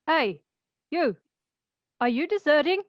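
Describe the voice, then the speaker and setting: A woman's voice: sits high, very animated, slow, dry recording, heavily acted. A woman playing blunt, plain-spoken fantasy characters in a comedy table read, with dull, muffled sound. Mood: suspicious.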